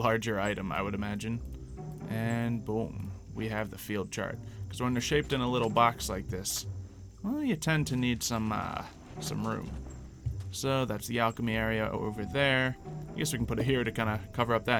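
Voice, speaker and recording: A man giving casual, chatty gameplay commentary as a let's-player, thinking aloud as he goes. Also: medium pitch. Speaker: video game let's-player.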